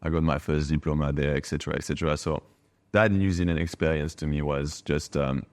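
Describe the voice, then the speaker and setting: Very deep-voiced Frenchman in conversation. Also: steady tone, brisk, a little mellow. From France, a small village in Bordeaux. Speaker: podcast guest, wine bar owner. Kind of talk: reflective conversational interview.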